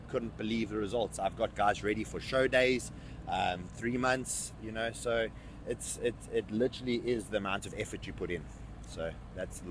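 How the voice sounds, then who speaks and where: A man's voice: on the low side, noisy background. Emphatic man in his thirties. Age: 30s. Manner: emphatic, repeats words while thinking. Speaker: fitness coach. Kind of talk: casual interview answers.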